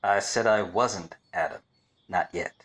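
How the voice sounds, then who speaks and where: A man playing a wisecracking, weary, obtuse private detective in a deadpan parody of 1940s radio drama. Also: lowish voice, a little slow. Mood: wry, deadpan. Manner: deadpan, hardboiled monologue. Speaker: lead actor and narrator. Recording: faint background noise.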